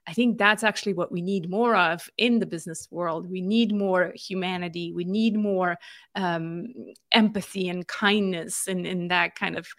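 A woman's voice: on the low side, fairly even intonation, normal speed, fairly bright tone, no echo, studio quality. A woman in her forties from Switzerland, a marketing consultant telling her story as an interview guest, thoughtful and full of small restarts. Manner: reflective, many fillers and restarts. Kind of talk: conversational interview.

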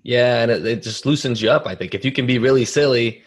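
A man's voice: medium pitch, fast, husky. A man speaking as an interviewer in a conversational style, reflecting on his own experience as he goes.

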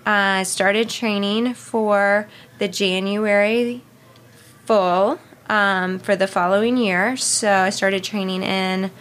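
A woman in her thirties as an interview guest, talking casually and haltingly with many fillers and restarts. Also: medium pitch, a bit bright, a little hiss or hum. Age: 30s. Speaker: interview guest, marathon runner.

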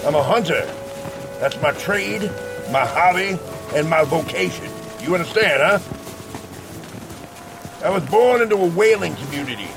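A gruff, self-assured frontier hunter with a gravelly voice pitched a little high. He is boastful and folksy, in the manner of a western audio drama, with music playing clearly underneath. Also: expressive, normal speed, pauses often. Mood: proud, storytelling. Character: gruff, boastful older hunter and former whaling harpooner, male.

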